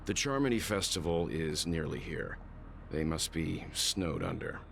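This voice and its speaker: A man voicing a grave, analytical older game character with a measured, deliberate delivery. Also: deep voice, animated, gravelly, fairly bright tone, slight background noise, exaggerated voice. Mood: grave, thoughtful. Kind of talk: video game voice acting.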